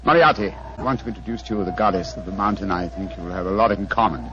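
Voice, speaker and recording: An actor playing a weary, courteous English detective with a British accent and precise, formal speech, in 1940s radio style. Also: male voice, deep voice, fast, close to an everyday voice. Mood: delirious. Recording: noisy, dull 1940s radio recording.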